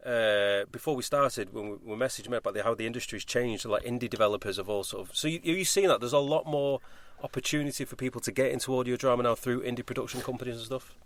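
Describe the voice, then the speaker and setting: A man's voice: mid-range voice, fast, hardly any pauses, no echo. A man co-hosting a casual podcast conversation, speaking in an emphatic, opinionated way. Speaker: podcast co-host.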